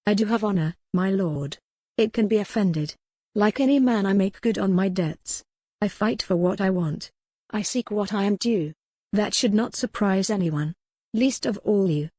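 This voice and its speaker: A woman's voice: medium pitch, normal speed, mellow, no echo, studio quality, close to an everyday voice. A woman voicing a proud, defiant young medieval noblewoman in an audiobook, with formal period speech. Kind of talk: dramatic audiobook character reading.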